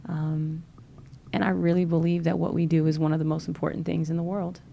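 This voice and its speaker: A woman's voice: sits low, flat delivery, brisk, gravelly, mellow, muffled recording, a little hiss or hum. A woman from Louisiana with a Southern accent, a podcast guest talking earnestly and encouragingly, with many fillers. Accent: Southern American. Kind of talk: casual interview chat.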